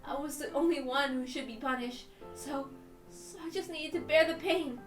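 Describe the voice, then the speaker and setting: A woman reading first-person visual novel narration dramatically, in a tense, deliberate and emotive way. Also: high-pitched. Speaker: reader on a stream. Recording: roomy, distant mic, music behind.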